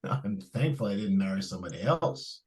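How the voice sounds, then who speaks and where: An old-time radio family dad, acted by a man, indulgent yet firm. His pitch swoops widely through hesitant, repeated phrases, and he talks down to his listener in a patronizing way. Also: animated, brisk, darkish tone, close to an everyday voice. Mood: relaxed, sentimental. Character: distracted, indulgent but firm family father. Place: live stage radio-play performance.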